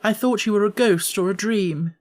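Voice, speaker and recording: A man voicing a frail, spoiled, imperious young boy in a storybook, speaking in a petulant, deliberate way. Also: high voice, pitched above the performer's own voice, natural voice. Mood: dismissive.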